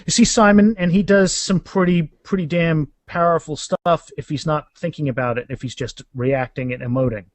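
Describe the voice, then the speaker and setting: Higher-pitched man in a halting conversation. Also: fairly even intonation, no echo. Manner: halting, often restarts and repeats words. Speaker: author being interviewed about their fantasy series. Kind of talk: casual podcast interview.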